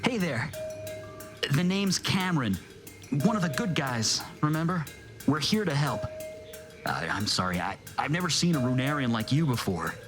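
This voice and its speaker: A man's voice: fairly high pitch, very animated, moderate pace. An actor playing a friendly, flustered young male mech pilot, chatty, wry and stammering, in a sci-fi motion comic. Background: music.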